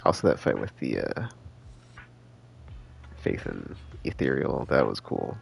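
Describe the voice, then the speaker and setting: A man's voice: very deep voice, big pitch swings, measured pace, gravelly, breathy edge. A man streaming a game live, chatting casually in a rambling, enthusiastic, slangy way. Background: game music.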